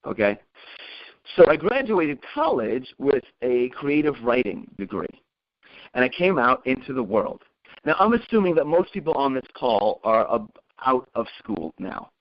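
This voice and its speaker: A man presenting an informal motivational talk as a career coach, telling personal anecdotes, repeating points emphatically and checking in with listeners. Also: mid-range voice. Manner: conversational, checks in with listeners with tag questions. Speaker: acting career coach presenting a group call. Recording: dull, lo-fi conference call audio.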